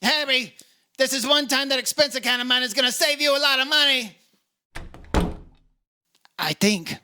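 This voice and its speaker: A man playing a brisk, hard-boiled insurance investigator in an old-time radio detective drama, terse and matter-of-fact. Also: extremely high pitch, background noise. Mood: urgent, insistent.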